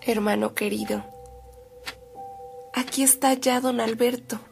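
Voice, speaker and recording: A gentle woman, a devoted sister with polite, formal manners. Her voice is somewhat high with a touch of fry, and the radio drama sets faint music behind it. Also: steady tone, a little slow. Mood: gentle, solicitous. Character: Lola, courteous, caring sister of an ailing bishop.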